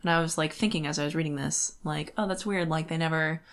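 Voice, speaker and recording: A woman's voice: deep voice, steady tone, fast. A young adult woman co-hosting a podcast, chatting casually about books. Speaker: podcast co-host. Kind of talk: casual book discussion chat.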